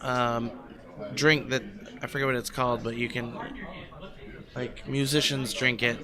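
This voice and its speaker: A man's voice: mid-range voice, flat delivery, unhurried. A man co-hosting a tournament stream, chatting loosely with many fillers. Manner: loose, filler-heavy talk. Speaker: tournament organizer and stream co-host. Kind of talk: casual chat. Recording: voice over loud crowd chatter. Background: crowd chatter.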